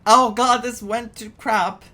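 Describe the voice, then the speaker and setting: A video game streamer giving casual reaction commentary, excitable and dramatic, repeating phrases for emphasis. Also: mid-range voice, takes it slowly. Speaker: streamer playing a story game. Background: game music.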